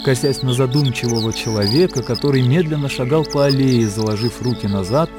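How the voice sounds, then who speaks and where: A man narrating literary fiction for a radio drama in a measured, expressive storytelling manner. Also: medium pitch. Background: music.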